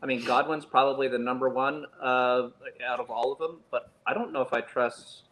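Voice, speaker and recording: A man with an average-pitched voice gives casual sports analysis. He sounds opinionated and emphatic, with faint ambient noise on his recording. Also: fairly even intonation, moderate pace, a bit bright, rough recording. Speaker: fantasy football panelist. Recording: clear background noise.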